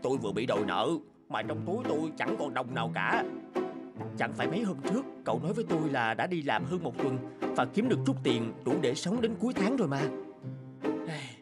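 A man voicing the male characters in a dubbed cartoon folk tale, with animated, emotional delivery. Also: fairly high pitch. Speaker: voice actor doing all male parts. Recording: music bed under voice.

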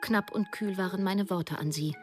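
A sceptical, disillusioned woman writer in her thirties voiced by an actress tells her story in a radio play. Her mid-pitched voice is sober and wry, with faint music behind it. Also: moderate pace, close to an everyday voice. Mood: sober, rueful. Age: 30s. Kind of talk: first-person radio drama narration and dialogue.

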